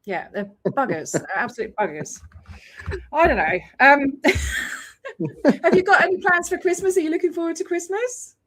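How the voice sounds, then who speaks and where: A woman's voice: high voice, animated, moderate pace, a bit bright. An Australian woman hosting a casual video call chat, talking freely with many fillers and frequent laughs. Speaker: host.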